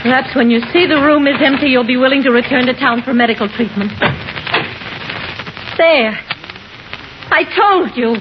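A high-pitched American woman played by an actress, worried and insistent, in a 1950s radio melodrama. She is earnest and urgent. The recording is muffled and clearly noisy. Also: normal speed, long pauses, lightly acted. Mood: determined. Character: worried, insistent sweetheart pleading with a sick man. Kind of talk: 1950s radio drama acting.

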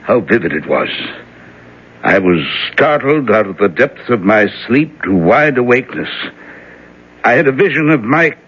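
An actor playing a worried reverend and father in a 1970s radio drama, speaking in a halting, hesitant way. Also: mid-range voice, sing-song, a bit bright, husky. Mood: uneasy. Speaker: reverend character. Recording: lo-fi vintage radio broadcast.

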